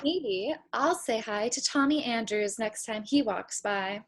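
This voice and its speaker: A woman playing a determined, hopeful young aspiring writer, speaking deliberately in a comedic table read. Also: fairly high pitch, slight background noise. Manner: deliberate, resolute.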